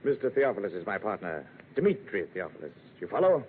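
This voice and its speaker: A pompous, insistent gentleman importer in a forties radio mystery. His delivery is florid and emphatic, with very lively pitch. The old recording is thin and clearly noisy. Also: fairly quick, phone-quality sound. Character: pompous, insistent male importer, a business client. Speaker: radio actor playing a client. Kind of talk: 1940s radio detective acting.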